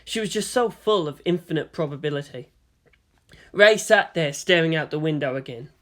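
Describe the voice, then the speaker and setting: A man reading a story as narrator in a dramatic, expressive scripted style. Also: a little high, moderate pace. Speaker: narrator of fan fiction.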